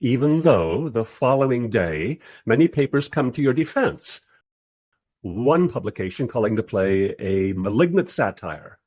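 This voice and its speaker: Wry narrator, husky mid-pitched voice, lively intonation, radio drama storytelling. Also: male voice, measured pace. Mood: wry, narrating. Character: bemused, wry filmmaker narrating his visit. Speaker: narrator and interviewer.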